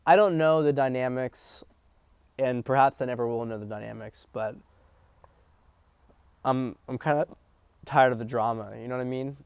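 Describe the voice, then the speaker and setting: A man's voice: medium pitch, normal speed, long pauses, darkish tone, smooth, dry recording. A man hosting a political commentary stream, talking bluntly and emphatically, swearing freely and often restarting his sentences. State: hiccups. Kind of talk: profane livestream commentary.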